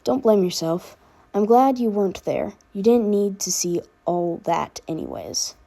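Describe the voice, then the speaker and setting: A woman's voice: medium pitch, unhurried, vocal fry, warm tone. A woman voicing a protective, teasing older brother in a casual, firm way for a fan fiction reading. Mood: firm, reassuring.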